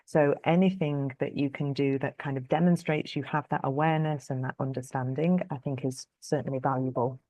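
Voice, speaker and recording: A woman's voice: rumbling low, steady tone, a little fast, few pauses, warm tone, dry recording. A woman in her thirties answering career questions in a webinar, thoughtful and hesitant, with many fillers. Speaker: public health lead answering career questions. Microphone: video call.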